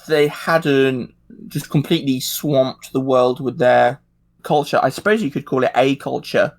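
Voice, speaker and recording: A man's voice: medium pitch, gravelly. A man in a casual discussion, speaking hesitantly and thinking aloud as he goes. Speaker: podcast regular.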